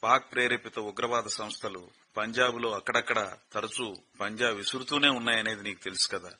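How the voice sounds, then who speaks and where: Man voicing a grave army officer, radio play, bright tone, husky, measured and gentle. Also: lowish voice, full character voice. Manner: measured, gentle, calls the girl "mother" fondly. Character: grave male officer breaking hard news gently. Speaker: officer on a phone call, colleague of the father. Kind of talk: radio drama acting. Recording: radio broadcast.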